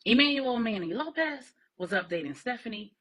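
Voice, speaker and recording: A woman's voice: a little high, lively intonation, normal speed, fairly bright tone. A woman storyteller reading a scripted crime drama story as narrator.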